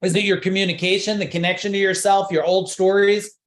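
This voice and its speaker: A man's voice: high voice, level tone, brisk, roomy sound. A man coaching a live online seminar, pushing emphatic rhetorical questions and repeating his points. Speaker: business coach.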